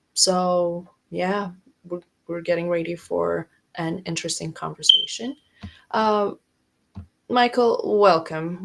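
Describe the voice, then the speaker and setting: A woman's voice: lowish voice, vocal fry. A woman hosting an interview podcast, asking questions in a friendly, chatty way. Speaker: podcast host.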